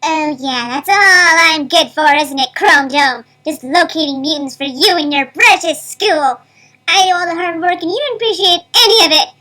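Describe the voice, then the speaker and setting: A woman plays an aggrieved female computer with a very high, bright voice. She is whiny and melodramatic, a touchy machine from a cartoon parody dub. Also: normal speed.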